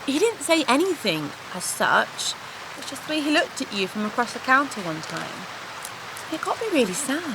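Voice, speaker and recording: A woman plays a frank, caring workmate who has grown a little weary of it all. Her voice is fairly high and very expressive, with clear rain-like noise behind her comedy drama lines. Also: moderate pace. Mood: gentle. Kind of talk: comedy audio drama dialogue. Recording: clearly noisy, rain-like background.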